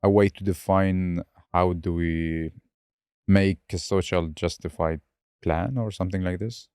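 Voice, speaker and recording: A man's voice: sits low, fairly even intonation, a little slow, a little mellow, smooth, no echo, studio quality. A man hosting a podcast interview, encouraging his guest with frequent short acknowledgements. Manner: encouraging, many short "mm" and "yeah" backchannels. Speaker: podcast host.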